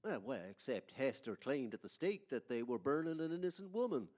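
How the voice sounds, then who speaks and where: A man playing a folksy local telling a witch legend in old-time radio horror, halting and ominous. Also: medium pitch, big pitch swings, a little mellow. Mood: ominous, hesitant.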